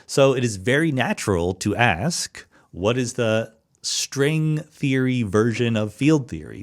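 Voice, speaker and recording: A middle-aged man hosting a solo podcast, answering listener questions in an explanatory way and thinking aloud with small restarts. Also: fairly low pitch. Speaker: podcast host.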